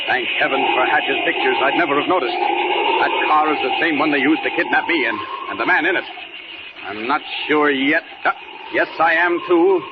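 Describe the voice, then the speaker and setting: A man's voice: a little high, moderate pace, lightly acted. Superhero lead , male actor: authoritative, fearless, vintage radio drama delivery, muffled hissy sound. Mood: determined. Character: bold, commanding male superhero. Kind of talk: 1940s radio serial acting. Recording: muffled, noisy 1940s radio recording.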